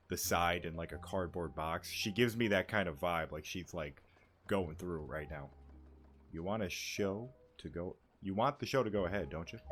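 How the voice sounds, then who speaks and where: A man's voice: low-pitched, fairly bright tone. A young man giving casual, rambling commentary while playing a game. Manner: rambling, filler-heavy. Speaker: streamer.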